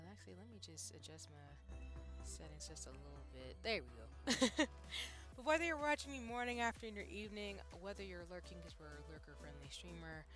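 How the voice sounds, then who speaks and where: A woman streamer chatting casually with her viewers, a little hoarse, upbeat and rambling with fillers. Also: fairly low pitch. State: slightly hoarse. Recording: faint music behind.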